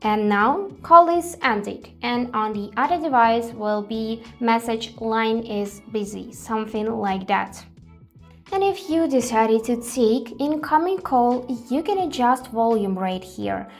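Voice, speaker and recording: Woman doing a how-to, kinda high voice, reassuring, light music behind. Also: lively intonation, takes it slowly. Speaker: tutorial presenter. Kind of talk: step-by-step product tutorial.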